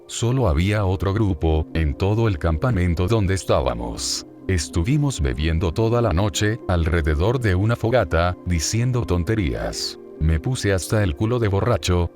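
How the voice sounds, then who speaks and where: Deep-voiced man narrating horror stories. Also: a little mellow. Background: background music.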